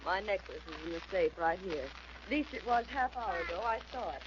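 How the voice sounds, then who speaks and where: An actress playing an indignant, commanding lady of the house, sharp and imperious in 1940s radio style. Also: medium pitch, expressive, a little fast, crowd noise, close to an everyday voice. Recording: muffled, very noisy old radio recording.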